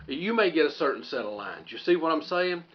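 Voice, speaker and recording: A man's voice: on the high side, sing-song, fairly quick, muffled recording. A man hosting a homestead channel, talking casually to viewers in a folksy, rambling way and thinking aloud as he goes.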